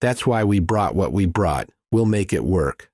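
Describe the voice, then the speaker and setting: A man's voice: deep voice, expressive, measured pace, darkish tone, husky, dry recording, clean studio sound, full character voice. Earnest male band member, voiced by a man reading: watchful, emphatic, direct, thriller audiobook. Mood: serious, wary. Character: watchful male rock musician, earnest bandmate.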